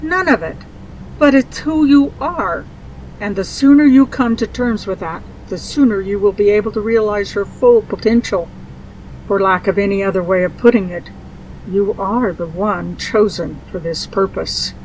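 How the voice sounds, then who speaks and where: Mage mother played by a woman, earnest and measured, mid-range voice, fantasy audio drama, faint background noise. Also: medium pitch, darkish tone, close to an everyday voice, rough recording. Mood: firm, insistent. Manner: measured, solemn. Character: earnest instructing mage mother, gently admonishing.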